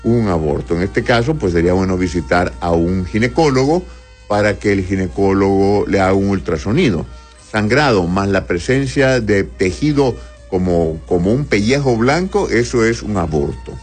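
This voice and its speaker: A man hosting a call-in medical advice show, calmly explaining conditions and reading listeners' messages aloud. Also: low voice, normal speed, husky, soft background music. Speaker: radio doctor answering listener questions.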